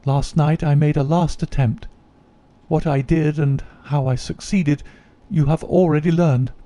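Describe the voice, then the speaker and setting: Male reader as guilty young noblewoman, earnest, confessional, mellow tone. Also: a little high, fairly even intonation, unhurried, dry recording, muffled recording, voice pushed up, lightly acted. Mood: remorseful.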